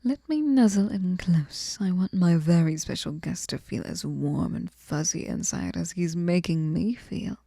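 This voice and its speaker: Woman as obsessive sweet fairy for ASMR roleplay: soft mellow tone, unhurried, coaxing and whispery, close and dry. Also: on the low side, no room sound, exaggerated voice. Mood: cuddly, doting. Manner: breathy cooing, often dropping into whispers, coaxing. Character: sweet, clingy, possessive female fairy hostess, lonely and obsessive. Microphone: close microphone, dry sound.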